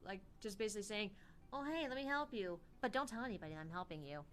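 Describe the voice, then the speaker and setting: A woman's voice: mid-range voice, expressive, fast talker, a bit bright, slight background noise. A young woman co-hosting a gaming livestream in casual, playful chat, swearing now and then. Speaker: livestream co-host.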